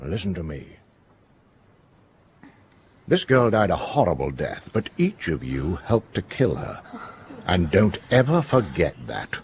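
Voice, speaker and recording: An actor playing a stern, righteous middle-aged police inspector in a radio drama, speaking with deliberate, commanding, moralising authority. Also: male voice, low voice, sing-song, slight background noise, exaggerated voice. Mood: accusing.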